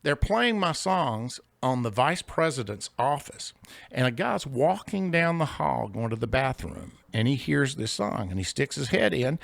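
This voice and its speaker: A man's voice: medium pitch, sing-song, measured pace, creaky, no echo. An older man with a big bluesy singer's voice, telling career anecdotes in a chatty interview with jokey asides, recorded in a studio. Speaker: singer-songwriter guest. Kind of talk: casual interview storytelling.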